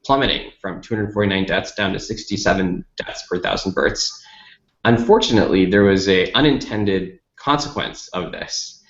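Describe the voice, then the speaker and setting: Low-voiced American man in a roomy space. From Dallas, United States. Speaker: company founder, interview guest. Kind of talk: explanatory interview answers. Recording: roomy, slightly dull lo-fi audio.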